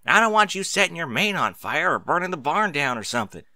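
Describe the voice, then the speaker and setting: A man's voice: fairly high pitch, sing-song, fast talker, sharp tone, no room sound, voice pushed up, full character voice. A man voicing a practical farm mare, a protective, exasperated big sister with plain-spoken, folksy talk.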